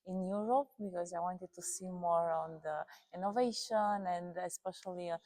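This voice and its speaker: A woman's voice: lowish voice, steady tone, normal speed, dark tone. A woman from Romania, a guest in an interview, talking animatedly and often rephrasing as she goes. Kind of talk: casual interview conversation.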